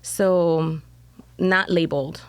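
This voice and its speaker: A middle-aged woman from El Salvador, telling her story in a relaxed interview and filling her talk with "you know.". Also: lowish voice, takes it slowly, clear, resonant tone, no echo. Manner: rambling, many fillers like "you know". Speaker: interview guest, foster mom. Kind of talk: casual conversational interview.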